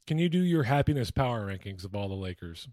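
A man's voice: fairly low pitch, sing-song, quick pace, darkish tone, dry recording. An American man co-hosting a podcast in a casual, conversational sports-chat style. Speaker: podcast co-host.

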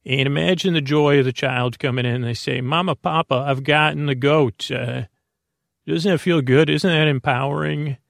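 A man with a creaky, gravelly voice delivers a whimsical, rambling monologue. He halts, repeats himself and drifts off mid-thought in a playful way. The sound is very dry and close. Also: on the high side, no room sound. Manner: meandering, halting, repeats and trails off mid-thought; gently silly, playful wordplay. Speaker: podcast host.

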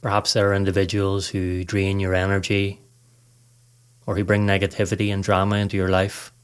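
A man with a fairly low voice leads a guided meditation from a script. His delivery is calm and measured, with very little rise and fall in pitch. He gives gentle instructions as a podcast host would to listeners. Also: smooth.

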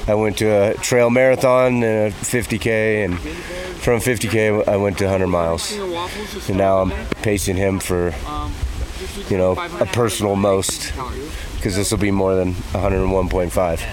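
Man, low-pitched, tired pacer, halting and laid-back, outdoors, crowd noise behind. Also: fairly even intonation. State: weary after running a hundred miles. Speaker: race pacer. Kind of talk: casual on-course interview. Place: outdoors at an ultramarathon aid station.

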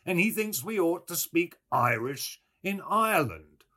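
A man's voice: on the high side, sing-song, a little slow, sharp tone, voice pushed up, full character voice. A man voicing a boisterous, mocking young Irish wit in a storybook, with mock-grand declamation. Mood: teasing, jovial.

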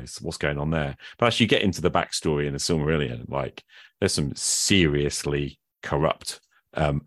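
Very deep-voiced man chatting, close dry sound. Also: fairly bright tone, no room sound. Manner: reflective, often ending points with tag questions. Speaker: podcast host. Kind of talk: casual conversation about roleplaying games.

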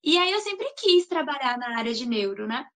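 A woman's voice: high-pitched, big pitch swings, moderate pace, a bit bright. A young woman from Salvador, answering interview questions in a chatty way with frequent tag questions. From Salvador, Bahia, Brazil. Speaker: guest, master's student.

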